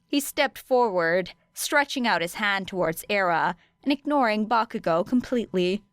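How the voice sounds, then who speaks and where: A woman's voice: medium pitch, lively intonation, moderate pace, no echo. Female audiobook narrator, clean resonant voice, dry sound, scripted storytelling. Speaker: audiobook reader of fan fiction.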